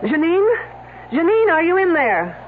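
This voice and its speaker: A man's voice: extremely high pitch, very animated, measured pace, full character voice. A man playing a cynical, commanding detective with dry quips in an old-time radio drama. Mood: sardonic. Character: wisecracking, cynical male detective running an investigation. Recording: noisy tinny old radio recording with hum.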